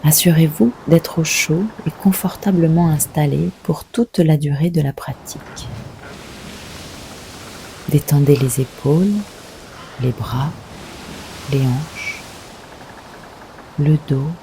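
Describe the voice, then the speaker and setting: A woman leading a guided yoga nidra meditation, giving gentle, unhurried instructions with long pauses. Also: deep voice, steady tone, a little hiss or hum.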